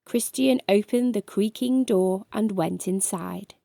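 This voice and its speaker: A woman's voice: mid-range voice, measured pace, creaky, mellow, no echo. A woman storyteller reading a children's bedtime story aloud.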